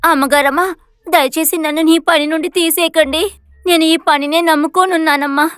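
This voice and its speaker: A woman voicing a brave, sorrowful, polite orphan village girl in an animated moral story, earnest and pleading. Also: very high voice, moderate pace, no echo, studio quality. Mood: timid, pleading.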